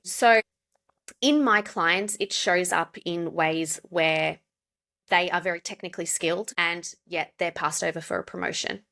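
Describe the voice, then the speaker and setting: An Australian woman, a pronunciation coach, explaining clearly and steadily as a podcast guest. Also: lowish voice, a bit bright. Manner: explanatory, measured. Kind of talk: podcast interview.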